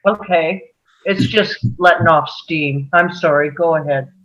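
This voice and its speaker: A wisecracking, restless male juror read by a man, flippant, with a bright and slightly high voice. It is an amateur screenplay reading on a muffled, lo-fi mic. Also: unhurried. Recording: dull, lo-fi microphone.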